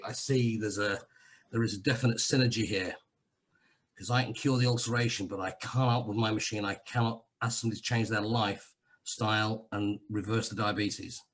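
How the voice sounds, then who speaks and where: A man's voice: fairly low pitch, a bit bright, breathy edge. An Englishman living in Cyprus, chatting casually as a guest, rambling and self-deprecating with frequent restarts. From England. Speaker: guest sharing a testimonial. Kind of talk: casual video-call chat.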